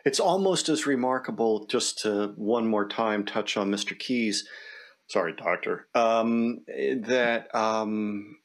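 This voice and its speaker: A man talks as an interviewer in a fairly low voice. His delivery is conversational, with a dry, wry streak of understatement. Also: slow pace.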